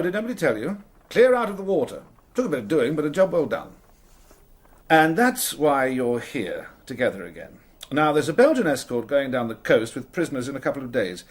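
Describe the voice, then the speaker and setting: An actor playing a hearty, brisk naval lieutenant in a radio drama. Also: male voice, on the high side, very animated. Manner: hearty, clipped officer talk.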